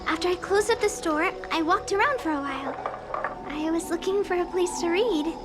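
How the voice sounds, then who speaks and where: A woman's voice: very high-pitched, normal speed. A woman voicing a timid, bookish young female shop clerk in a video game, hesitant and apologetic. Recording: music under the voice.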